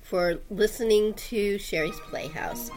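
A woman's voice: medium pitch, slow, darkish tone, faint music behind, rough recording. A woman hosting a radio drama, making an informal announcement to listeners in a chatty, emphatic, rambling way. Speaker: host and producer of a radio drama.

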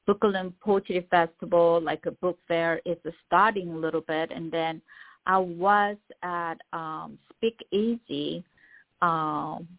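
A middle-aged woman with a Korean accent, a poet speaking as a guest in a casual interview, halting and searching for words. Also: lowish voice, steady tone. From South Korea. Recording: tinny phone line.

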